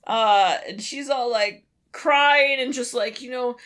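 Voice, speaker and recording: A woman's voice: a little high, slow pace, a bit bright. A woman co-hosting a podcast in casual chat, recapping an old cartoon with a sweary, incredulous manner. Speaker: podcast co-host.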